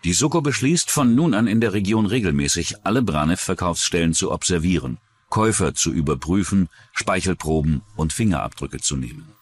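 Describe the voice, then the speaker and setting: Low-pitched male true-crime narrator. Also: lively intonation, fairly quick, a little hiss or hum. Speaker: documentary narrator.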